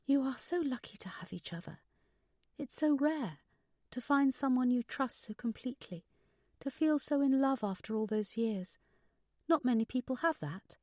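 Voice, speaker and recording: Woman playing fragile married woman, anxious, hesitant, lighter raised pitch, expressive swings, audiobook dialogue. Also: normal speed, dry recording, clean studio sound. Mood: wistful. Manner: hesitant, emotional, vulnerable.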